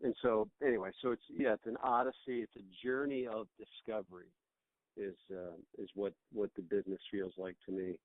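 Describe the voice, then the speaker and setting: A man's voice: lowish voice, steady tone, a little fast, no room sound. A middle-aged American man being interviewed as a business owner, talking conversationally and reflectively over the phone, often rephrasing as he goes. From United States. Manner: reflective, rambling, often repeats words to rephrase. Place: on a phone call. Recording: tinny, band-limited phone line.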